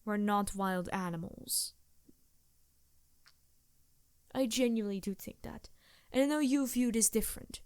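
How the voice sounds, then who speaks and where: A woman voicing a seductive, scheming succubus demon who is a loyal ally, speaking softly and musingly in an intimate improvised ASMR roleplay. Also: medium pitch, moderate pace, clean tone, no echo, studio quality, lightly acted. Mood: earnest, disapproving.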